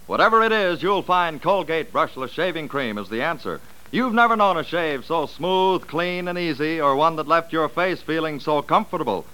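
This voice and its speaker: Bright, lively American radio pitchman. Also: moderate pace, few pauses, clean tone, low-quality recording. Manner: punchy, salesmanlike. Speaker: commercial announcer.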